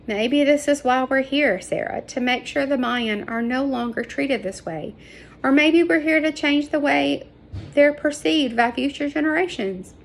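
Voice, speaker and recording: A woman's voice: high-pitched, unhurried, gravelly, pitched above the performer's own voice. A woman voicing an earnest, indignant boy in a children's audiobook, speaking emphatically. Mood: earnest, upset.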